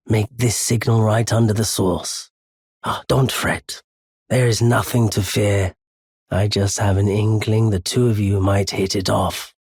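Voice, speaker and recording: A very husky, lowish male voice for a wandering merchant in a video game. He speaks slowly and politely, with weary understatement and a quietly unforgiving streak. The recording is dry and close. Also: no room sound, full character voice. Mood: wry, friendly. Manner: measured, polite, wry, understated. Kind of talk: video game character dialogue.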